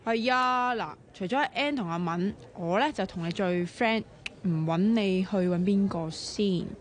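An actress playing a sharp-tongued, bossy, excitable young woman in a radio drama, chatty and expressive, with a Hong Kong Cantonese accent. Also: mid-range voice, measured pace, lightly acted. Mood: persuasive, cheeky.

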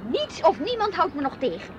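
A woman's voice: very high voice, big pitch swings, fairly quick, muffled recording, over music. An actress playing a restless married woman who longs for adventure and presses her husband impatiently, in a radio play. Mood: eager, defiant. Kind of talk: radio drama acting.

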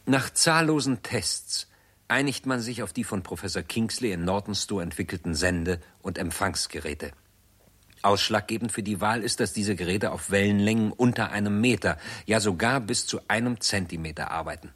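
A man narrating a 1960s German science fiction radio play as a sober chronicler, formal and measured, with a husky voice. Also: lowish voice, a bit bright. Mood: sober, factual.

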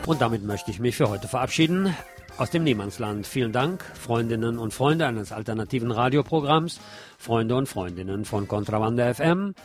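A man's voice: mid-range voice, animated, normal speed, music in the background. A man reading scripted film commentary as host of an alternative radio programme.

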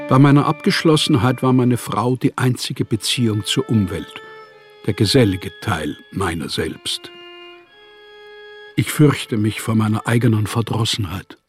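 An actor playing a melancholy, withdrawn writer in a radio play, speaking in a sombre, inward monologue. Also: male voice, medium pitch, measured pace, close to an everyday voice. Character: melancholy, withdrawn male writer, unhappily married. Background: music.

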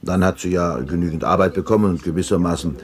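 A man's voice: sits low, quick pace, close to an everyday voice. An actor playing a weary, nostalgic elderly father in a 1970s radio drama. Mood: earnest. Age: older. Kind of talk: 1970s German radio play acting. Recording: busy crowd noise behind the voice.

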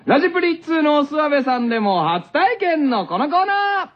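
A man's voice: very high-pitched, sing-song, a little fast. A man hosting a radio show, chatting casually and playfully as he introduces listener segments. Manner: playful, readily laughing. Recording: dull lo-fi radio, loud music underneath.

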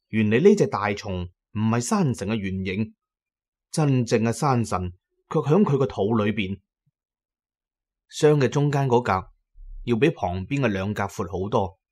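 A man's voice: fairly low pitch, a little mellow. A man with a Hong Kong Cantonese accent narrating an adventure story in the first person, as in an audio drama.

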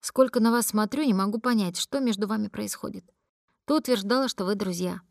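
A woman's voice: mid-range voice, a little fast, creaky, no room sound, studio quality, lightly acted. A woman reading aloud and voicing a puzzled young man who speaks hesitantly and earnestly.

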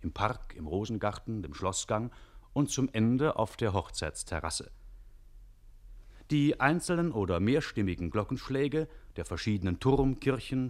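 A man giving a scripted introduction to a radio play as announcer and narrator, in a measured storytelling delivery. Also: lowish voice, sing-song.

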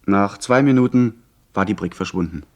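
Male actor as earnest young gentleman narrator, lowish voice, literary storytelling, radio play. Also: normal speed, natural voice. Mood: tense. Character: earnest young gentleman recalling his pirate adventure. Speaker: first-person narrator. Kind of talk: scripted dramatic narration.

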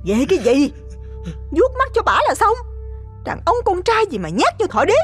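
A scheming young wife from the south, sharp-tongued and bossy. The falsetto sits very high and leaps all over. Soft music plays underneath this audio story. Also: male voice, big pitch swings, moderate pace, slightly rough, heavily acted. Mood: scolding. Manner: scolding, shrill falsetto.

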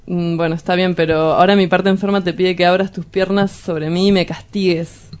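A woman's voice: fairly low pitch, flat delivery, brisk, a little mellow, lightly acted. A woman with an Argentine accent playing a needy, teasing, kinky young lover who wheedles in a radio play. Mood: pleading, teasing.

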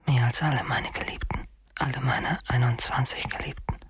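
A man's voice: medium pitch, steady tone, normal speed, close to an everyday voice. An actor playing a deranged, obsessive male killer in his thirties in a German radio crime drama, pleading intimately and often sinking toward a whisper. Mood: tender, obsessed. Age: 30s.

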